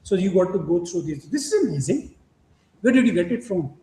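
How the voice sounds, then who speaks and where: Halting high-voiced male host, echoey room. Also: very animated, warm tone. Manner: halting, many restarts and fillers, laughs often. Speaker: podcast host. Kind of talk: casual interview chat. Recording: echoey room with faint ambient noise.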